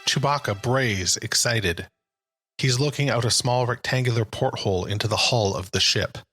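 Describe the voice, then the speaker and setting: A man's voice: mid-range voice, moderate pace. A man delivering dramatic, scripted present-tense narration for an audio drama. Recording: narration under loud music.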